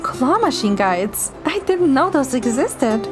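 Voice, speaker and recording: A playful young game heroine with a fairly high voice, acted by a woman. She talks casually and expressively, with a slightly bashful streak. Music runs underneath. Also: very animated, slow, heavily acted. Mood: amazed. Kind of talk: amateur romance game dub.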